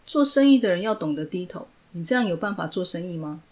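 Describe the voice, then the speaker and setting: A woman's voice: lowish voice, lively intonation, fast, a little mellow, close to an everyday voice. A woman voicing a cool, blunt teenage girl genius who prods with questions. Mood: prodding.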